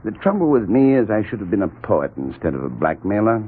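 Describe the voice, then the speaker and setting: An actor playing a genteel, self-loathing blackmailer in a 1940s radio drama, speaking in a mannered, fussily polite, husky way. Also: male voice, fairly low pitch, very animated, brisk, a little hiss or hum. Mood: wry, smug. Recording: muffled, narrow-band 1940s radio recording.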